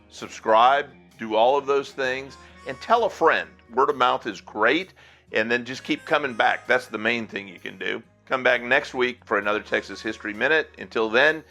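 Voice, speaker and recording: A man's voice: mid-range voice, normal speed, pushed and bright, soft background music. An older American man hosting a casual podcast history segment in a conversational, folksy, rambling way. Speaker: podcast host.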